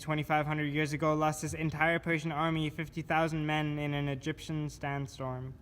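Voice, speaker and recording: A man giving a scripted spoken-word reading of poetic survival instructions in a measured, deliberate manner. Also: on the high side, fairly bright tone. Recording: clear background noise.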